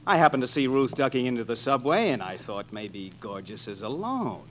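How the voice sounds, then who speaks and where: A man's voice: mid-range voice, very animated, a little fast, fairly bright tone. Oily American male flirt, pushy reporter type, swinging intonation, 1940s radio, faintly crackly. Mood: oily, flirtatious. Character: pushy, smooth-talking newspaperman wolf, flirting slickly. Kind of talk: 1940s radio comedy-drama acting. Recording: noisy old 1940s radio recording with clicks.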